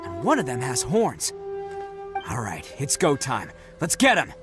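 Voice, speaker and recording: A man's voice: medium pitch, wildly swooping pitch, unhurried, music in the background. An actor playing a brash, cocky male oni gang leader in a video game, talking with swagger.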